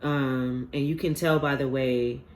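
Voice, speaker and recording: A man's voice: mid-range voice, steady tone, measured pace. Stuffy-voiced man doing a loose, rambling tarot reading; a faint hiss sits under his voice. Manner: rambling, filler-heavy, earnest. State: stuffy from a sinus infection. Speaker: tarot reader. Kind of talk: casual collective tarot reading.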